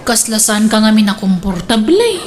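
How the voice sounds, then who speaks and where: A woman's voice: medium pitch, sing-song, normal speed, lightly acted. An actress playing a fussy, demanding young girlfriend in an Ilocano comedy radio drama, with a Filipino Ilocano accent. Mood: questioning.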